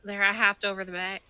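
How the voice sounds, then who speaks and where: A woman's voice: medium pitch, pushed and bright, smooth, no room sound, poor audio. A woman chatting casually with other players during a roleplay game, easygoing and full of "like" fillers, a little stuffy from allergies. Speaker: roleplay streamer. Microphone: headset or desk mic.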